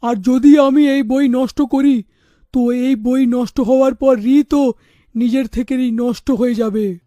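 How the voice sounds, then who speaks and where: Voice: high-pitched, steady tone, unhurried, dark tone, no echo, studio quality. An actor playing a troubled, protective middle-aged father in a cartoon, grave and insistent in manner. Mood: troubled, reluctant. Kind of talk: animated story dialogue.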